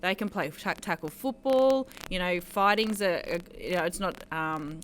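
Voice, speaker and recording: An Australian woman with a voice pitched low for a woman talks casually as an interview guest. She rambles with lots of ums and laughs easily. A faint hum of noise sits behind her. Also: expressive, moderate pace, a bit bright. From Australia (New South Wales, raised in Queensland). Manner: chatty, rambling, many fillers, laughs easily. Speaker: guest, mixed martial arts fighter.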